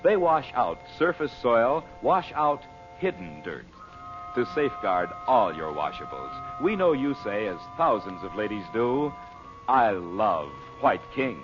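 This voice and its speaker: A man's voice: mid-range voice, very animated, a little slow, highs cut off. A man announcing an old radio serial in a scripted 1940s broadcast style. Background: music.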